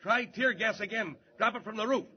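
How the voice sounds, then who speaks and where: An anxious prison warden, voiced by a man in a 1940s radio serial, pressing urgent orders in a high, strained voice. The transcription is dull and lo-fi. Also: a little fast, lightly acted. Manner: urgent, pleading.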